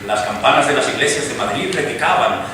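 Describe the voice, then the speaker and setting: A man playing a grandiloquent, courtly gentleman recounting events emphatically in a dramatized radio-play reading. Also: medium pitch, animated, brisk, close to an everyday voice. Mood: grand, admiring. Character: grandiloquent gentleman Señor Estrada, eager eyewitness storyteller. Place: live stage reading in a hall. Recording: distant echoey hall, clear noise.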